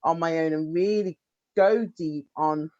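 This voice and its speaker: A woman hosting an interview, talking in an animated, rambling way with many restarts. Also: low voice, unhurried, highs cut off. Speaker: podcast host. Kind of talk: casual interview chat.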